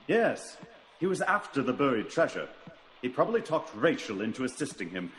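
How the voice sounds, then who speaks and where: An actor playing a shrewd, self-assured gentleman detective in a radio mystery, analytical and clipped in his remarks. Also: medium pitch, very animated, normal speed, slight background noise, poor audio. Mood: musing. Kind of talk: radio mystery drama acting.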